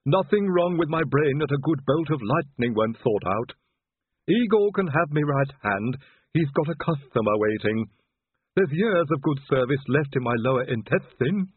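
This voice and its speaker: A man's voice: fairly high pitch, lively intonation, normal speed, slightly rough, dry recording, narrow, tinny audio, pitched above the performer's own voice. A man voicing a dying patchwork manservant in an audiobook, groaning and urgent, with colloquial, practical insistence. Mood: groaning, insistent.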